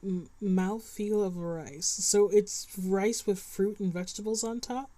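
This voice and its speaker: A woman's voice: mid-range voice, steady tone, unhurried, mellow. A woman streaming a game, chatting casually and reacting with playful, wry remarks. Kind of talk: casual chat reacting to game, reading text aloud.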